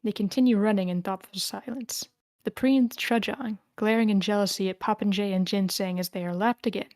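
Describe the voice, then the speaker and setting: A woman's voice: mid-range voice, steady tone, a little fast, a little mellow, no echo. A woman reading stage directions as narrator, in scripted narration at a screenplay table read.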